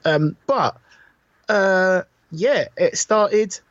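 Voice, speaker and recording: Higher-voiced British man, dry and slightly dull recording. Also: extremely slow, a bit bright, dry recording. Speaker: podcast co-host. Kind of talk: casual chat.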